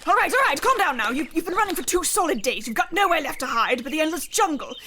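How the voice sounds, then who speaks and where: An actress playing a wily, easily flustered huntress who rambles, in a comic radio play. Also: female voice, high voice, lively intonation, fast talker, music in the background. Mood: frantic. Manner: talks herself through predicaments, rambling. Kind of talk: scripted radio-play comedy acting. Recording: noisy recording.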